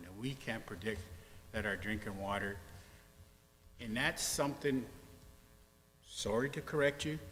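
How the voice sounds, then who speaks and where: A man's voice: mid-range voice, fairly bright tone. Plain-talking guy arguing at council, faint hum, echoey room. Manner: blunt, folksy, plain-spoken. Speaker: city councillor. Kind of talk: council debate speech. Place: council chamber. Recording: reverberant chamber with faint hum.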